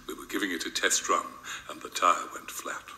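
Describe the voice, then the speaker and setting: Older man as a chatty storybook village lady, low and hushed, in a roomy theatre. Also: fairly even intonation, a little fast. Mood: explanatory. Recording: roomy theatre sound, faint background noise.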